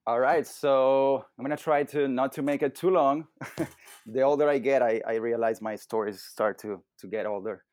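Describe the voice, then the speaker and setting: A man's voice: medium pitch, normal speed, no room sound. Man, 30s, venezuelan accent, chatty and rambling, dry close sound. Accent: Venezuelan Spanish. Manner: rambling, repeats words, warm laughter. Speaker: guest, personal trainer. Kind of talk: casual interview chat.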